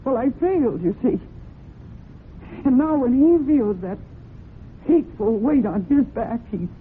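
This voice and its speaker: An old radio recording, narrow and faintly noisy, of an anxious young woman. Her voice sits high, and she speaks with earnest, halting, pleading intensity, in the manner of classic radio drama. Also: expressive. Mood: pleading, distressed. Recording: muffled, noisy vintage radio broadcast.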